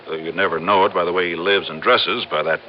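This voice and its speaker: Male actor as emphatic, grumbling office insurance man, deeper register, vintage radio drama, dull lo-fi sound. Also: fairly even intonation, quick pace, lightly acted. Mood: scornful. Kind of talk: old-time radio drama acting. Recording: muffled old radio, faint background noise.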